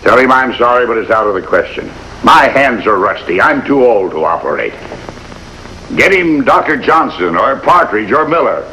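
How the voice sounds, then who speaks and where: A proud old surgeon in a vintage TV drama. He is brusque and stubborn, with a sharp, forceful voice at a middling pitch. A light hiss sits on the old soundtrack. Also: big pitch swings, moderate pace, poor audio. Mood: firm refusal. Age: older. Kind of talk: 1950s television drama acting. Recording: hissy vintage television soundtrack.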